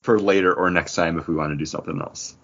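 A man with a fairly low, husky voice and an American accent chats casually as a podcast host. The recording is a bit dull and lo-fi. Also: fairly even intonation, fast talker, a bit bright.

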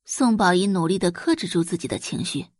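Animated, husky-edged female narrator. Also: on the low side, brisk, dry recording, studio quality. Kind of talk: dramatic scripted audiobook narration.

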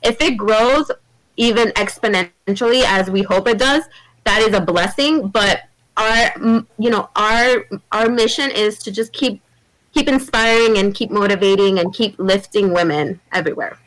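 A woman's voice: medium pitch, normal speed, a bit bright, no echo, low-quality recording. A woman podcast co-host talking over a video call, upbeat and rambling with many likes. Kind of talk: casual remote interview chat.

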